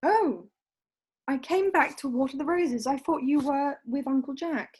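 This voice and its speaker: Woman reading a sweet girlish young lady in a play, really high voice, romantic but self-possessed. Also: a little slow, a little mellow. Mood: sweet, prim. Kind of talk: amateur play read-through. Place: at home on a video call. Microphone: video call.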